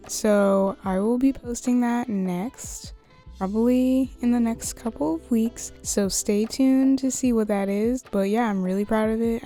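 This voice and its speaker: A young woman with a slightly hoarse voice, chatting casually in a voice-over about her art. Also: medium pitch, warm tone. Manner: chatty, rambling, laughs often. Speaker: art YouTuber. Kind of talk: casual voice-over while drawing. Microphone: USB studio microphone.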